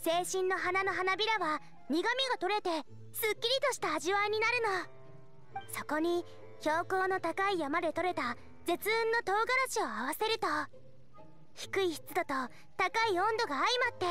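A woman's voice: very high-pitched, moderate pace, sharp tone, faint music behind. A woman voicing a spirited teenage girl chef in an anime-style game, earnest, confident and girlish. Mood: explaining. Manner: earnest, girlish, plain-spoken.

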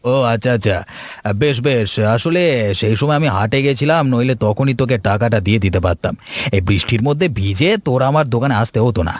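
A man's voice: mid-range voice, animated, fast talker, no room sound, natural voice. A man voicing a friendly, sympathetic village shopkeeper who chats and advises like an elder brother in a cartoon. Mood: chatty. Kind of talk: cartoon story dialogue.

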